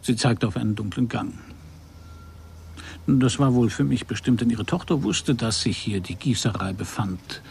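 An actor performs a male private detective for a radio crime drama. He is observant and unruffled, with a gravelly voice and a dry, wry delivery that stays polite. A faint noise sits under the recording. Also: mid-range voice, normal speed, a little mellow, natural voice. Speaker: narrator and lead actor.

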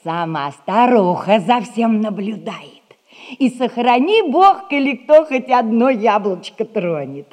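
Gossipy folksy nanny, unhurried, fairly high voice, stage comedy. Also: female voice, big pitch swings, darkish tone. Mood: gossipy, confiding. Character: fussing, kindly, crafty household nanny. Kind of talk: theatrical 1960s audio play.

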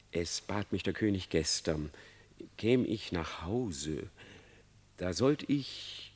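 An actor playing a cunning male fox, a smooth-talking trickster, in a classic verse radio play. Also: low voice, heavily acted. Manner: wheedling, persuasive, theatrical verse delivery.

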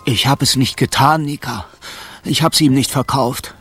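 An actor in a German radio drama playing a feverish, dying jazz saxophonist, whispering and pleading. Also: male voice, mid-range voice, steady tone, moderate pace, fairly bright tone, natural voice. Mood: anguished, pleading. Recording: music behind the voice.

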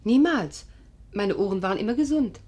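A woman plays a troubled, sleepless patient in an old radio play. Her husky voice moves through wide swings of pitch, with precise, insistent emphasis. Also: expressive, moderate pace, warm tone, lightly acted. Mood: defensive, weary. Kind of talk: 1950s German radio drama acting.

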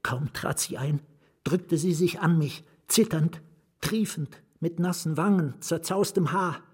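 A haunted novelist telling his own story in a radio play. His voice is strongly husky and breathy, and he speaks slowly and deliberately, often sinking to a whisper. Fear and anguish sit under the literary narration. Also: fairly high pitch, a little mellow. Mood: haunted, intense. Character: anguished, fearful male novelist recounting his ruin. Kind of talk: literary radio drama first-person narration.

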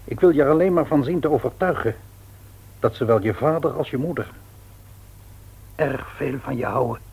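A man playing an earnest, patient, devout middle-aged father in a radio play, with measured delivery and a rough-edged husky voice. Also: medium pitch, darkish tone. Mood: earnest, conciliatory. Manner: measured, grave, deliberate. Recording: old radio play recording.

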